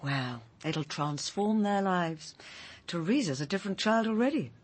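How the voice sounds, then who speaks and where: An actress playing a kindly, concerned village woman in a radio drama, gentle and confiding. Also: lowish voice, expressive, measured pace, husky. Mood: earnest, confiding. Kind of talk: BBC radio drama acting.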